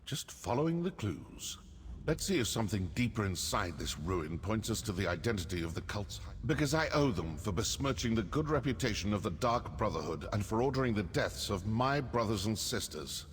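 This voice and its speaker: Video game assassin, low-pitched, sardonic, suspicious, expressive intonation, fantasy setting. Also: male voice, moderate pace, a little hiss or hum. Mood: indignant, deliberate. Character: male assassin of a dark brotherhood, wry and suspicious. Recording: cavernous reverb, faint game ambience.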